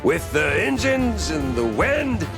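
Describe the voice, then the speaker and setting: A man playing a hard-boiled cartoon mouse detective in a noir game, gruff and sardonic. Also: high voice, big pitch swings, slow, exaggerated voice. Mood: exasperated. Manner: gruff, sardonic, muttering asides. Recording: loud music behind.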